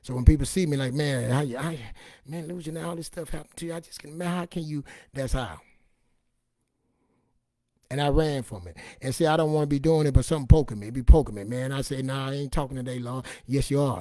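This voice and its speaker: A raspy-voiced man giving an informal, emphatic personal testimony in a streetwise, repetitive way, acting out bits of dialogue as he goes. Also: mid-range voice, warm tone, no room sound, clean studio sound. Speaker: online motivational and faith speaker.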